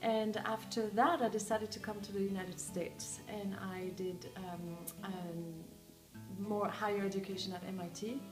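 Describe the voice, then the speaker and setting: A woman's voice: mid-range voice, steady tone, a little slow. A woman from France, a life coach, speaking reflectively with frequent hesitations. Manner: reflective, hesitant with many ums. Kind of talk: interview talk. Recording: echoey room with music behind.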